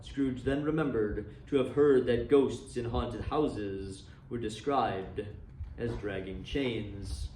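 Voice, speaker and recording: A man reading a story aloud in a dramatic, expressive style with measured phrasing. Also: lowish voice, slight background noise. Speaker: library volunteer reader and host. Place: indoor room decorated for Christmas, seated. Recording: roomy echo, distant microphone.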